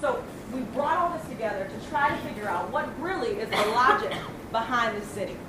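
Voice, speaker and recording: A young woman from Northern California giving a prepared presentation to a community audience, measured with some fillers. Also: fairly high pitch, expressive. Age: young adult. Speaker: program presenter. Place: large hall with audience. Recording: echoey room, far from mic, noisy.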